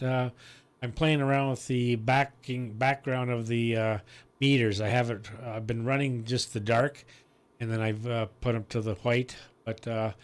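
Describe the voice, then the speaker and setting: Halting man chatting on the radio. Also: mid-range voice. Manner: rambling, halting with many fillers. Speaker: amateur radio operator hosting the net. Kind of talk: casual on-air radio chat. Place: home radio room. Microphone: boom microphone.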